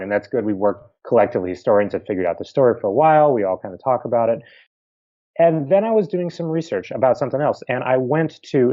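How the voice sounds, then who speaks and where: A man's voice: mid-range voice, warm tone, highs cut off. A middle-aged man with a Pennsylvania accent, a historian answering interview questions in a thoughtful, explanatory way with informal asides. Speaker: historian guest.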